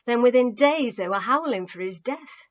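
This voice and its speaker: A woman reading a story as a dramatic narrator, expressive and acting out the moments, on a muffled home recording. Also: on the high side, moderate pace. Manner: expressive, acts out dialogue. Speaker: author reading own book.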